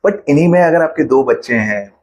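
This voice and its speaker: A man's voice: on the high side, lively intonation, a little fast, a little mellow. A man, a financial planner patiently explaining money matters with everyday examples in an interview.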